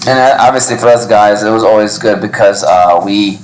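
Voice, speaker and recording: Low-voiced man hosting in an echoey restaurant. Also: fairly quick, slight background noise. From Yemen. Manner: rambling, hesitant with many ums. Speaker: podcast host. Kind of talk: casual panel discussion. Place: restaurant, live event.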